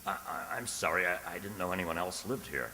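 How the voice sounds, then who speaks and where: A man's voice: sits low, fairly quick, bright, forceful tone, slight background noise, exaggerated voice. A man playing a secretive, easily unnerved poet, narrating his diary in a literary, theatrical radio drama style. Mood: startled. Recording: reverberant radio play with music underneath.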